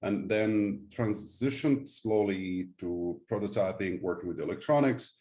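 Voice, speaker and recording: Low, mellow-voiced male founder, Syrian-born. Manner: halting, non-native phrasing. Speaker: startup founder, guest. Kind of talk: conversational interview.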